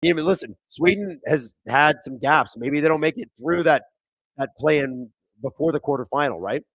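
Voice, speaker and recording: A man with a worn, thin, raspy voice that keeps cracking and squeaking as he hosts a live hockey watchalong. He sits somewhat high in pitch and talks casually to his viewers, reading their comments and reacting loudly to big plays. The sound is close and dry from his streaming mic, with no room around it. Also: normal speed, no echo. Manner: casual, reads viewer chat aloud; excitable play-by-play on big moments. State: hoarse, voice squeaking. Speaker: watchalong host. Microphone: streaming microphone.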